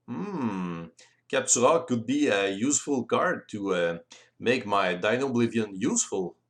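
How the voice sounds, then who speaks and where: Slow, lilting Frenchman explaining a game. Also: lowish voice, expressive. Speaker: board game rules presenter. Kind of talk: explanatory walkthrough of game play.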